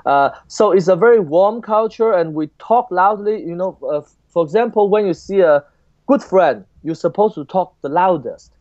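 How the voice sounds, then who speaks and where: Man, Chinese accent, higher voice, halting emphatic English, interview guest, dry lo-fi recording. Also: animated, darkish tone, no echo. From southern China. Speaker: opera composer, podcast guest. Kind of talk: interview talk.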